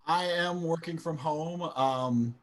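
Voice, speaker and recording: A man's voice: on the high side, slow. A man chatting as a guest on a video call in a dryly joking, casual manner. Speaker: guest, wedding DJ.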